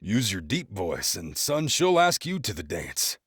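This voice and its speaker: A man voicing a boastful father loudly mocking his son in front of the family. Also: on the low side, big pitch swings, unhurried, breathy edge, no room sound, studio quality, exaggerated voice. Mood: gleeful mocking. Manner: loud, mocking, laughing storyteller. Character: boastful teasing middle-aged father, loud.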